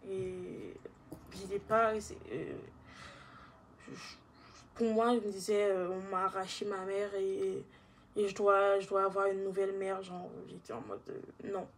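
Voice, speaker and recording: A young woman from Haiti with a Guadeloupean accent, giving a halting personal testimony in casual speech. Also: mid-range voice, flat delivery, a little hiss or hum. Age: young adult.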